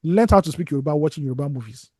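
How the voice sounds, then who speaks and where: A man's voice: on the high side, lively intonation, racing, a little mellow, no echo. A man, co-hosting a casual podcast, rambling and restarting, often trailing off to a mutter. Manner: discursive, restarts, drops to a mutter at phrase ends. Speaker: podcast co-host.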